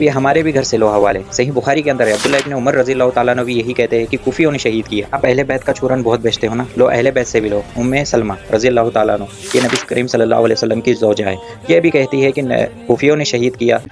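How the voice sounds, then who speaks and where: Guy doing a confrontational religious rebuttal, talks fast, music playing under it. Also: medium pitch, fairly even intonation. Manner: taunting, confrontational, directly addressing an opponent. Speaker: religious debater giving a rebuttal. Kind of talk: argumentative rebuttal commentary.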